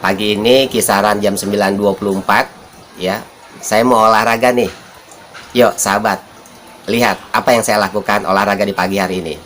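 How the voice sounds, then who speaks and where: A man hosting a casual vlog, his voice hoarse and nasal from the flu as he talks to his viewers. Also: on the low side, steady tone, brisk, fairly bright tone, a little hiss or hum. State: congested with flu. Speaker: vlog host.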